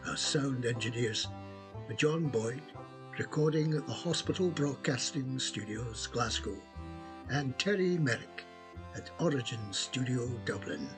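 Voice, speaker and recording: Slow-paced male announcer over music. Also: medium pitch, lively intonation. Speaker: credits announcer. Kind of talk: scripted closing credits announcement. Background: instrumental music.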